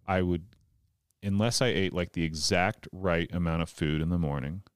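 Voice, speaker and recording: Male, 30s, podcast guest. Deep, casual, meandering delivery, dry room. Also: moderate pace, smooth, no echo. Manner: rambling, lots of filler words and restarts. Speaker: guest comedian.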